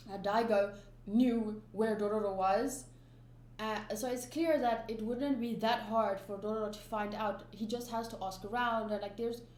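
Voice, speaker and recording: A rather high-voiced woman, chatting about an anime in loose, emphatic reaction commentary that circles back and restarts itself. Her voice rings in a roomy space, with a faint hum underneath. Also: darkish tone. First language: Afrikaans. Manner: rambling, emphatic, many restarts and fillers.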